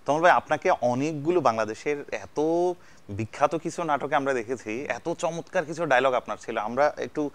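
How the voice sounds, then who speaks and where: A man's voice: mid-range voice, moderate pace. A male chat show host from Bangladesh with a mid-range voice. He talks casually to his celebrity guests, teasing them and praising them generously. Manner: jokey, effusively complimentary to guests.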